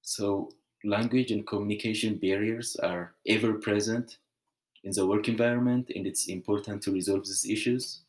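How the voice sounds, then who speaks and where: A young man from Ethiopia, giving an earnest, measured prepared presentation with small restarts. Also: lowish voice, steady tone, poor audio.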